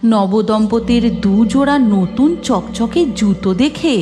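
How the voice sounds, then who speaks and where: A woman reading scripted cartoon story narration in an expressive, dramatic way. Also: fairly high pitch, unhurried, soft background music.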